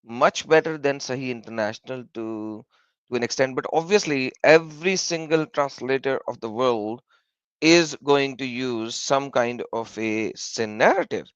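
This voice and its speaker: A man's voice: medium pitch, a little slow, smooth, dry recording. A man hosting a livestream, speaking hesitantly with many fillers. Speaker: channel host. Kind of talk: casual livestream chat. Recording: dull lo-fi dry sound.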